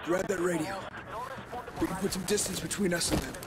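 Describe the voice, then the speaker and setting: An actor playing an injured, determined squad leader in a video game, urgent, gruff and terse. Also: male voice, high-pitched, fairly even intonation, a little fast, a loud crowd, lightly acted. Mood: urgent resolve.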